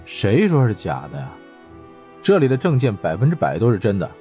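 A man voicing a gruff, dismissive middle-aged department chief with a husky, condescending drawl in an audiobook. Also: lowish voice, wildly swooping pitch, brisk, dark tone, faint music behind, voice pushed up.

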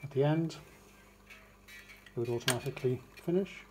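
A man's voice: medium pitch, normal speed. Man demonstrating, with noise and clicks behind. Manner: plain, matter-of-fact instructions in short phrases. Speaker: demonstrator of a vintage record player. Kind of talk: hands-on demonstration, explaining step by step. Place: indoors, beside a wooden radiogram. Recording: clear background noise with mechanical clicks.

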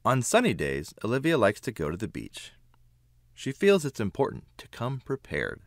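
A man reading a children's picture book aloud with expressive, emphatic phrasing. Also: mid-range voice, normal speed, dry recording, studio quality. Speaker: children's book reader, narrator. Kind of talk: read-aloud storybook narration.

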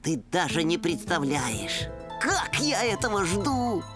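Low-voiced bookish boy pup. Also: big pitch swings, slow pace, over music. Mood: determined. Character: studious, serious boy dog, bookish son. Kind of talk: animated cartoon acting.

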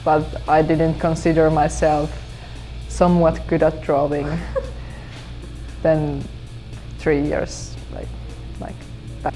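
A teenage exchange student from Finland, a girl who speaks English as a second language, gives slow, halting answers full of fillers and small laughs. Her voice sits low for her age, and a faint guitar plays quietly underneath as she talks in a school hallway. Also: level tone, poor audio. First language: Finnish. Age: teens. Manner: hesitant, many fillers, soft laughs. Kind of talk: casual interview.

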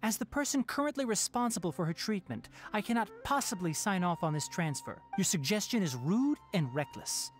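A calm, earnest young ranger scholar, measured and firm. His delivery has lively pitch movement while staying composed. Soft game music sits underneath. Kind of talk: video game voice acting.

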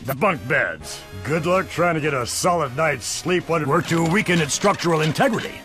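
A man's voice: on the high side, animated, moderate pace, loud music behind the voice, close to an everyday voice. A man voicing a scheming, vain middle-aged businessman in a cartoon, theatrical, ranting and self-pitying. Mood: scheming, indignant. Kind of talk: exaggerated cartoon acting.

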